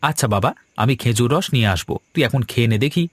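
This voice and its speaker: A man's voice: medium pitch, animated, fast, no room sound, lightly acted. A man voicing a good-natured village father and husband in a cartoon, with a colloquial, placating manner. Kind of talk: Bengali cartoon story dubbing.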